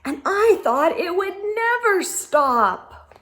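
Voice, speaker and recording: A woman reading a picture book aloud to children, expressively, with gasps and hushed asides. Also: very high voice, very animated, takes it slowly. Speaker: children's read-aloud storyteller.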